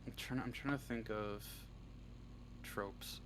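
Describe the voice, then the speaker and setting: A man's voice: on the low side, steady tone, unhurried, a bit bright. A man answering as the guest writer in a casual interview, hesitating and thinking aloud as he talks. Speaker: interview guest, audio roleplay script writer. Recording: clearly noisy background.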